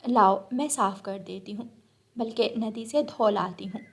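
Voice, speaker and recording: A woman voicing a gentle, helpful village bulbul in a children's cartoon, patient and softly reasoning. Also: medium pitch, a little slow, creaky, breathy edge. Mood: kindly offering. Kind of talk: children's cartoon story acting.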